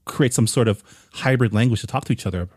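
A man's voice: mid-range voice, fast talker, darkish tone, husky, no room sound, clean studio sound. Thoughtful man in a film chat. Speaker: film podcast co-host. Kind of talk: casual film review chat.

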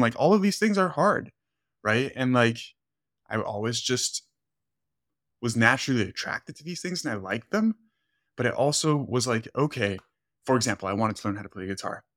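A man's voice: mid-range voice, fairly bright tone, no echo, clean studio sound. A man whose first language is Spanish, a coach hosting a casual podcast, talking earnestly with lots of "like" and "right?". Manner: earnest, rambling, leans on "like" and "right?". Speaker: fitness coach and podcast host.

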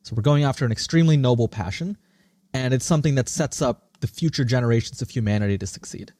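A man's voice: medium pitch, fast, warm tone, no room sound, clean studio sound. A man in his thirties with an American accent, answering interview questions as a podcast guest in an earnest, emphatic, articulate way. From born in India, raised in Singapore. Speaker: podcast guest, startup CEO.

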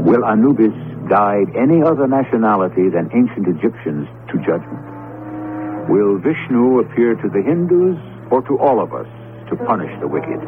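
Low-voiced, ominous radio mystery host. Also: male voice, noisy background. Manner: measured, dramatic, with deliberate pauses. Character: grave, ominous male storyteller introducing a mystery tale. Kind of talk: theatrical scripted narration, 1970s radio drama. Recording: muffled 1970s radio recording with music.

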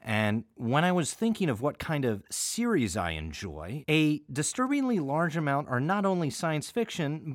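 A man's voice: medium pitch, no echo, clean studio sound. A middle-aged American man hosting a radio introduction in a chatty, wry, digressive conversational way. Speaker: artistic director of an audio theater, host.

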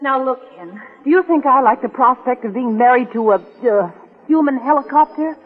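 An actress playing a sardonic, insistent and teasing fiancée in a 1940s radio drama. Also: female voice, high-pitched, animated, normal speed, slightly rough. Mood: exasperated. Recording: muffled tinny 1940s radio recording.

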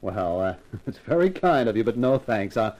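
An actor playing a wry, tough private eye in a 1950s radio crime drama. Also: male voice, fairly low pitch, big pitch swings, normal speed, a little mellow. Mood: wry, relaxed. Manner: wry, wisecracking. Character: wisecracking tough private eye, brave and flirtatious. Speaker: lead actor and narrator.

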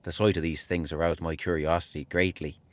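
A man acting a low-voiced, earnest young army clerk. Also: flat delivery, brisk, dry recording, lightly acted. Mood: earnest, keen. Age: 20s. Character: earnest young British army clerk reading his wartime diary. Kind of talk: dramatised diary reading.

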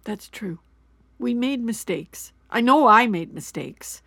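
Anxious mother, high lively voice. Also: slow pace, breathy edge, dry recording, exaggerated voice. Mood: apologetic, rambling. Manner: rambling, fretful, self-blaming. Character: anxious, apologetic middle-aged mother. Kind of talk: naturalistic audio drama acting.

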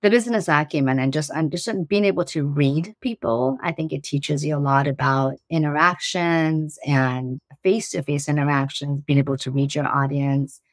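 A woman's voice: low-pitched, moderate pace, few pauses, dry recording, clean studio sound. A woman in her forties from Iran with a slight Iranian accent, answering interview questions earnestly and laughing easily. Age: 40s. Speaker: guest, startup CEO.

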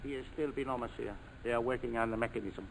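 A man's voice: mid-range voice, fairly even intonation, fast talker, natural voice. An actor playing an eager, humble riverboat helmsman in a 1940s radio drama, speaking deferential broken English with a French accent. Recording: noisy 1940s radio broadcast.

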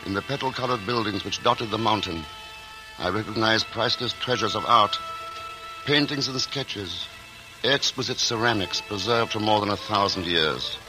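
Man doing a calm traveler narrating an old radio play, lowish voice, music under it. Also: natural voice, rough recording. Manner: measured, reflective narration. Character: composed male traveler narrating his adventure. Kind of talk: 1940s radio acting.

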